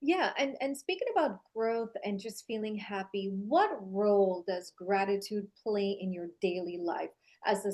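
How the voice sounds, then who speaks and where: Woman podcast host, medium pitch. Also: a little mellow. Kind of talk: motivational podcast interviewing.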